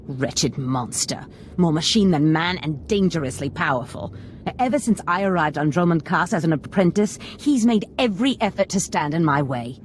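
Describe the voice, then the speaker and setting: A woman's voice: on the low side, expressive, normal speed, a little hiss or hum, lightly acted. A woman playing a haughty, scheming Sith master in a video game, theatrical and calculating. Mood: indignant. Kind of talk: video game dramatic acting.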